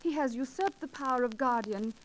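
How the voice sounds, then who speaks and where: A woman plays a gentle, honorable young noblewoman in a 1940s radio drama. Her voice is fairly high, with refined, formal, archaic diction. The old recording carries faint noise and clicks. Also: a little fast, close to an everyday voice. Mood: anxious pleading. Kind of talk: 1940s radio romance acting.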